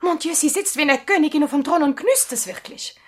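Actress as high-pitched, theatrical fretting mother. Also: fast talker, natural voice. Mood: exasperated. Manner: theatrical, complaining, peppers speech with French exclamations. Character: dramatic, exasperated, excitable mother patient. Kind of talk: German-language radio drama acting.

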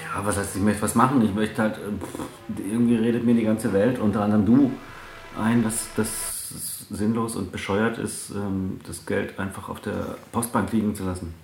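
Grumbling, low, gravelly man in echoey room. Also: steady tone, normal speed, darkish tone. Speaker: client. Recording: echoey room, faint guitar music.

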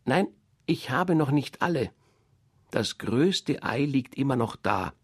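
A fussy, proud mother duck, voiced by a man reading a storybook, fretting and bossing her brood in a shrill, lifted voice. The voice is a little husky, swinging up and down, and the recording is dry and close. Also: lively intonation, takes it slowly, dry recording. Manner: shrill, scolding, bossy.

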